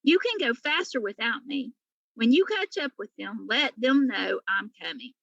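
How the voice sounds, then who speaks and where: A woman's voice: fairly high pitch, animated, normal speed, vocal fry, fairly bright tone, no echo, dull audio, exaggerated voice. A woman voicing a plucky, kind young female pig in a storybook, with an earnest, eager manner. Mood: weary, urging.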